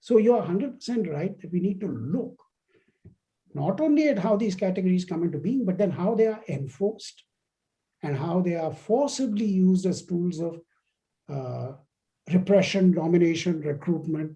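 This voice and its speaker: Measured male lecturer with a mellow voice. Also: high voice, animated, rough recording. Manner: measured, emphatic, explanatory. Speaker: guest lecturer. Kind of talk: academic lecture and Q&A answer. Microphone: online video call.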